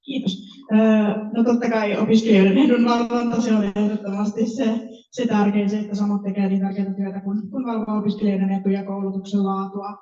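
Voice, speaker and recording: Fast-talking, mellow woman, distant. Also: mid-range voice, little pitch movement. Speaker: candidate on an election panel. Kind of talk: quick spoken answer to a panel question. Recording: dull, echoey room, distant mic.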